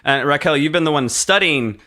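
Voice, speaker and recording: Bright-voiced man hosting a talk show. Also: on the high side, lively intonation, fairly quick. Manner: emphatic, explanatory, often checking with "right?". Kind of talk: conversational music industry commentary.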